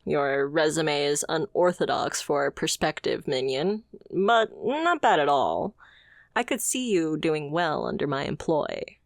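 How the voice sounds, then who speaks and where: Casting: woman to play female supervillain boss for ASMR roleplay. Low, unhurried, haughty, playfully amused, very dry close sound. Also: very animated, creaky, dry recording, heavily acted. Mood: teasing, intrigued. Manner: poised, teasing, condescending, deliberate.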